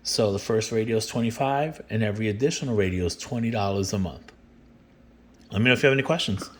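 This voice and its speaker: A man presenting a product in an informal, plain-spoken demonstration and sales pitch, explaining things step by step. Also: fast. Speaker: company representative presenting a product.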